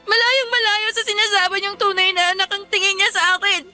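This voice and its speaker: A woman's voice: very high voice, little pitch movement, brisk, bright, forceful tone, soft background music, full character voice. An actress playing a hurt, defiant student daughter in a Filipino radio drama, polite but pleading as she talks back. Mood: tearful, angry.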